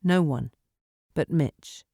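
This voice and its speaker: A woman audiobook narrator reading dramatic fiction in an expressive, measured storytelling manner in a studio. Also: deep voice, vocal fry, no echo. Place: dry recording studio.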